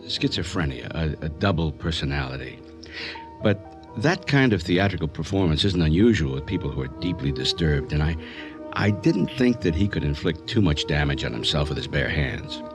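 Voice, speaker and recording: A very deep male voice, playing a calm psychiatrist in a radio drama. He speaks in measured, clinical phrases, with music clearly playing behind him. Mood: composed, clinical. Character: composed male psychiatrist recounting a strange case. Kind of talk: radio drama narration and acting.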